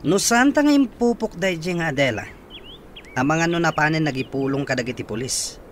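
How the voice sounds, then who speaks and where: High, swooping gloating man, played by an actor. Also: expressive, a little fast, a little hiss or hum, natural voice. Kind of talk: Ilocano radio drama acting.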